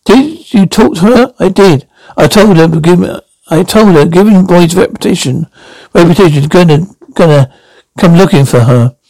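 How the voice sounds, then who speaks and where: A man's voice: high-pitched, normal speed, warm tone, dry recording, low-quality recording. A man retelling a story and reading its dialogue, stumbling with many restarts.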